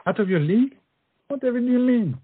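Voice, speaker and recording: Cyrano, a man playing a husky theatrical mentor. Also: very high voice, animated, fast talker, no room sound, narrow, tinny audio, heavily acted. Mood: warm coaxing. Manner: flowery, theatrical, coaxing speech. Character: Cyrano, witty theatrical French friend and mentor. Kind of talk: stage comedy acting.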